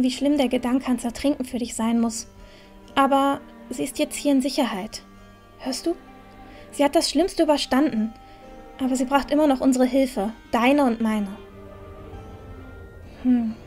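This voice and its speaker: A woman's voice: high voice, fairly even intonation, moderate pace, long pauses, a little mellow, faint music behind, lightly acted. A woman playing a resolute, caring young heroine in a fantasy audio drama, earnest and bossy yet tender. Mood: concerned, soothing.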